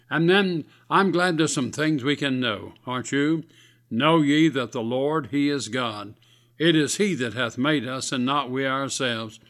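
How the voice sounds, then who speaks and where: A man's voice: medium pitch, fairly bright tone. Male radio preacher, scratchy voice, folksy and earnest. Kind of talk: radio sermon.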